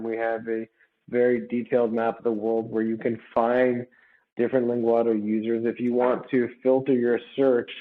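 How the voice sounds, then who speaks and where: A man being interviewed as a startup founder, talking at length in a conversational, explanatory way and checking in with tag questions. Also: mid-range voice. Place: remote call. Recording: muffled, phone-like remote call audio.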